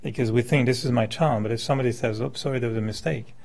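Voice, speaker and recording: Male speaker, fifties, mid-pitched with heavy vocal fry, informal spiritual lecture, conversational and questioning. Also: fairly even intonation, fast, a little mellow. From born in France, settled in Quebec. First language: French. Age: 50s. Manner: conversational, illustrates with hypothetical examples and questions. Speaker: meditation teacher, speaker. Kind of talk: informal spiritual talk to a live group.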